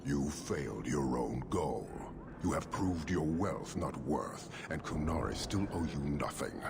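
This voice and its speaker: An actor playing a stern, imposing horned giant warlord in a fantasy video game, speaking in a measured, severe, gravelly voice. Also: male voice, extremely low pitch, animated, exaggerated voice. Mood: cold, stern. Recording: loud ambient noise under the voice.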